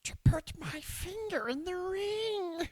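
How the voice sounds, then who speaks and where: A man's voice: very high-pitched, takes it slowly, no room sound, voice pushed up. A man doing an impression of a sly, groveling male cave creature who calls the other "master".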